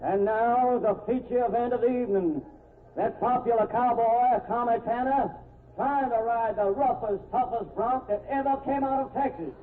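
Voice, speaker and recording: An actor playing a male rodeo announcer in a 1940s radio drama, with drawn-out showman calls. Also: extremely high pitch, a little hiss or hum. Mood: showy. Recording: tinny distant old radio broadcast.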